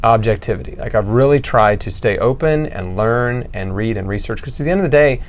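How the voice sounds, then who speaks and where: A man hosts a conversational interview. His voice is of medium pitch, and he talks informally as he explains his views and puts questions to a guest. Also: animated, fairly quick. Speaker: interviewer and show host.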